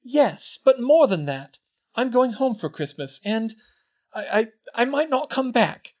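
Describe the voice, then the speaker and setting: A man reading as an anxious, bookish teenage schoolgirl witch from a storybook, pitched well above his own voice. She speaks high and earnest, her pitch swinging widely, and the recording sounds dull and lo-fi. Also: big pitch swings, unhurried, full character voice. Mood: frightened, pleading. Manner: high, earnest, tearful pleading.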